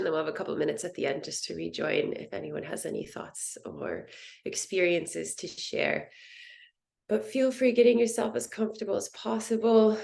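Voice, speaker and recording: A woman teaching a guided meditation in a soothing, gentle, unhurried manner. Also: medium pitch, gravelly. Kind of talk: guided yoga nidra meditation.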